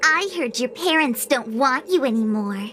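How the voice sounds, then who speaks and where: A woman's voice: high voice, animated, moderate pace, sharp tone, slight background noise. A woman voicing a mean, taunting child bully in a game cutscene. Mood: scornful. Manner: taunting, sneering. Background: music.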